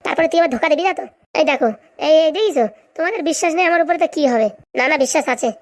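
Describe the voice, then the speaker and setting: High, rapid-fire scheming village woman played by an actress. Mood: greedy plotting. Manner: chatty, bickering, colloquial. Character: scheming, greedy, bickering village women in cartoon comedy. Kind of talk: comedy cartoon voice acting.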